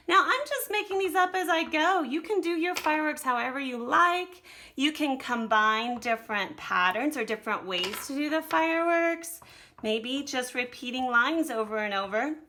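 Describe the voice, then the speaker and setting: A woman's voice: fairly high pitch, pushed and bright, a little hiss or hum. An American woman teaching children to draw, talking them casually through each step in an encouraging way. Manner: encouraging, talks directly to young pupils. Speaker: art teacher giving a drawing lesson to children. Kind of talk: casual step-by-step demonstration while drawing.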